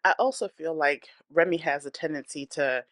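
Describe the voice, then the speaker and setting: A woman's voice: deep voice, moderate pace, fairly bright tone, dry recording, studio quality. A woman hosting a celebrity gossip channel, speaking in a blunt, opinionated, chatty way while congested from a cold and breathing hard. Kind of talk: casual celebrity gossip commentary.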